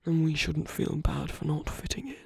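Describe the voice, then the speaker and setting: A man playing a suave, seductive villain with contempt for others in an ASMR romance roleplay, speaking intimately and teasingly in a breathy, husky voice that often nears a whisper. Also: on the high side, fairly even intonation, no echo, exaggerated voice. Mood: bitter, conspiratorial. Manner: slow, deliberate, intimate, teasing.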